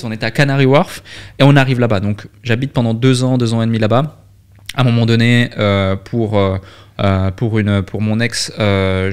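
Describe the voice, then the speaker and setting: A man's voice: mid-range voice, clear, resonant tone. A young man from Switzerland, a guest on a podcast, telling his story casually with many fillers and restarts into a studio microphone. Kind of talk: candid storytelling interview. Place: dark podcast studio with red light. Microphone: studio mic on boom arm, headphones.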